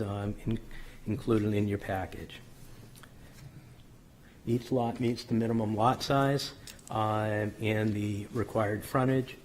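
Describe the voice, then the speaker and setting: Hoarse man presenting plans, flat and low. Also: slight background noise. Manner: methodical, technical, frequent ums and self-corrections. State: slightly hoarse. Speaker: applicant's engineer presenting a subdivision plan. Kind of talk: formal presentation at a public board meeting. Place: city council chamber.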